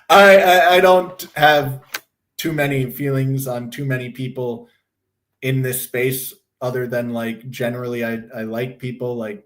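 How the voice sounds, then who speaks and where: Hoarse, rambling man on a livestream. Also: mid-range voice, fairly bright tone. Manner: rambling, blunt, swears freely. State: losing his voice, sore throat. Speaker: livestream host. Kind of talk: casual livestream chat.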